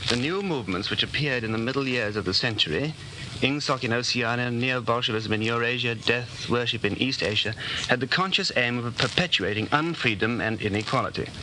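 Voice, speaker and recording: An actor playing an earnest, subdued rebel against a regime, speaking seriously in a 1940s radio drama. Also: male voice, mid-range voice, brisk, lightly acted. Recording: noisy 1940s radio recording.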